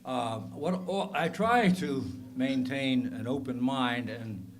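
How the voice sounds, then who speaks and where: A man in his sixties with a bad cold, a retired professor giving a measured, methodical lecture to a club meeting, with frequent ums and uhs. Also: mid-range voice. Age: 60s. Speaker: guest speaker, retired professor.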